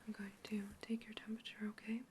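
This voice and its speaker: Young woman, ASMR whisper, flat and mellow, calm researcher asking questions. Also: medium pitch, quick pace, slight background noise. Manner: clinical, gentle interviewer. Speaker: ASMR creator. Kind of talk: whispered sci-fi roleplay.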